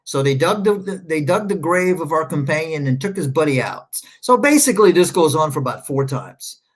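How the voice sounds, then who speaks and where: A man's voice: fairly high pitch, sing-song, brisk. A man rambling through casual livestream commentary, sarcastic and scornful, stopping often to search his screen. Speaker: online commentator.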